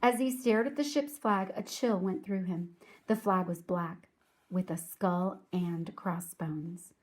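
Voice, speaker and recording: Woman reading a children's story aloud. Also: fairly low pitch. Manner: clear, deliberate, addresses young listeners. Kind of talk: children's read-aloud narration.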